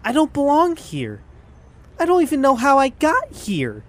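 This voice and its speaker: Casting: woman as eager young cartoon boy, earnest and plucky, highish voice, very lively intonation. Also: unhurried, heavily acted. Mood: confused, earnest. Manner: excitable, earnest. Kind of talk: cartoon audio drama acting.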